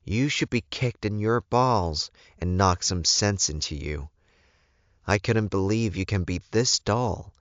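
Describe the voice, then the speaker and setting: A man's voice: lowish voice, slow, no room sound, highs cut off, voice pushed up. A man voicing a blunt, fed-up young woman who scolds in an audiobook. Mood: scolding.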